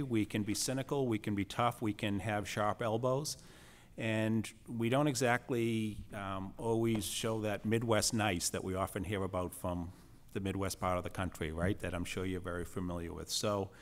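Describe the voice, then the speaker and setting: Boston guy on a committee panel, lowish voice, friendly measured questions. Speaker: school committee member. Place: committee meeting room. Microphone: table microphone.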